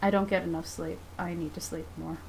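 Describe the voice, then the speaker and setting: A woman's voice: on the low side, steady tone, brisk, darkish tone, a little hiss or hum, poor audio. Chatty woman in her thirties. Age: 30s. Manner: chatty, rambling, self-deprecating. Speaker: comic artist streamer. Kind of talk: casual livestream chat. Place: home studio at a drawing desk.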